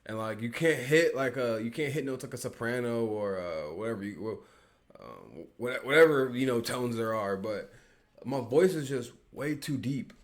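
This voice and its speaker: A man's voice: medium pitch, expressive, moderate pace. Rambling casual man reviewing music. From Peoria, Illinois, US. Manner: rambling, heavy on fillers and slang.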